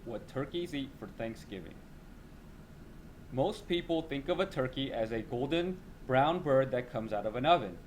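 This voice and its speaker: A man's voice: medium pitch, animated, moderate pace, noisy background. A man reading a children's nonfiction text aloud as a teacher.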